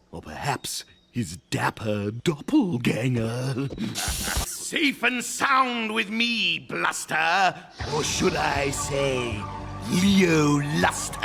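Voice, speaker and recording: A theatrical crocodile monarch, villainous and full of himself. The pitch leaps extravagantly between a low purr and shrill heights, and the delivery is drawn-out and grandiloquent. Cartoon score plays clearly behind the voice. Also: male voice, full character voice. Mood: smooth, sly. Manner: pompous, grandiloquent, exasperated with underlings. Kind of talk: exaggerated cartoon villain acting. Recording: cartoon soundtrack with music behind.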